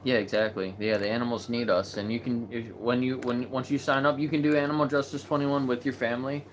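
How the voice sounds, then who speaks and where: A man hosting a live video chat, talking in a relaxed, chatty way with many fillers. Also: mid-range voice, fast, slight background noise. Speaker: host of a live video chat about animal rights. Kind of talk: casual livestream chat.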